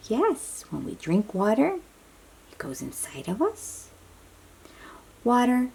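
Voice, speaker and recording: A woman with a soft, breathy voice reads a picture book aloud to young children, gently explaining and chatting to them as she goes. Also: fairly low pitch. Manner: slow, child-directed, encouraging questions and affirmations. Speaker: read-aloud storybook host.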